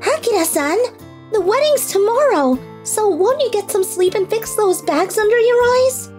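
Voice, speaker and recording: A woman's voice: extremely high pitch, animated, moderate pace, darkish tone. A woman voicing an earnest teenage girl in a dubbed manga story. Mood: playful scolding. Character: earnest shy teenage high school girl, later playful young bride. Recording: music under the voice.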